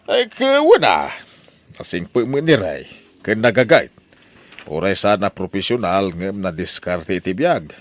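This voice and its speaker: A man plays a cautious, advising male relative in a comedy radio drama. His voice is fairly low, with lively, expressive rises and falls. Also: measured pace, no room sound.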